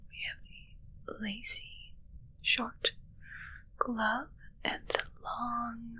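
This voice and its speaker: A young woman playing a mischievous, fussy witch in a breathy, teasing whispered ASMR roleplay. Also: a little high, level tone, extremely slow, sharp tone, full character voice, rough recording. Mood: deadpan, fussy. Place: small indoor set with curtains. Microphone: close ASMR microphone.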